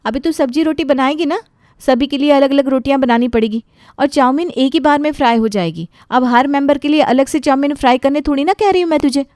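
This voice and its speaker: A woman's voice: high voice, brisk, darkish tone, no echo, clean studio sound, lightly acted. A woman playing a practical, exasperated young housewife who protests in a dramatized family story.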